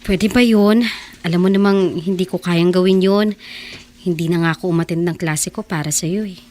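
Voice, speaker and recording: A woman's voice: lowish voice, moderate pace, dark tone, natural voice. An actress playing a meek, anxious teenage girl in love, in a Filipino radio drama, with a Filipino accent. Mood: doubtful.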